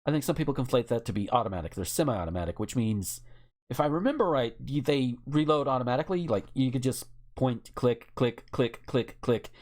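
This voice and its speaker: A podcast co-host chatting casually and with strong opinions, swearing freely and acting out imagined quotes in an animated way. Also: mid-range voice, a little fast.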